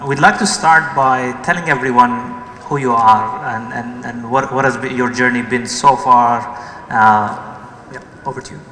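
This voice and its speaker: The male moderator opening an afternoon session at a business event, halting a little, his bright voice bouncing around the hall. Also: medium pitch, slight background noise. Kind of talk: welcoming event introduction. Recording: echoey hall with music behind.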